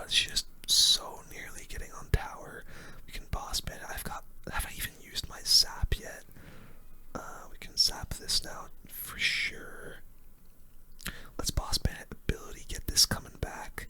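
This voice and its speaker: Hushed whisperer doing ASMR game commentary. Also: a little slow. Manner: casual gamer slang, chatty. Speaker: gaming video host.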